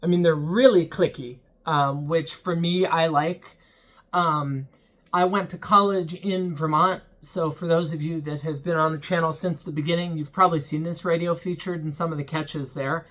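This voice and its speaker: A man's voice: on the high side, fairly even intonation, normal speed, fairly bright tone. A young man hosting his own channel, giving a casual, earnest product review in a plain-spoken way with frequent ums. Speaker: channel host reviewing a weather radio.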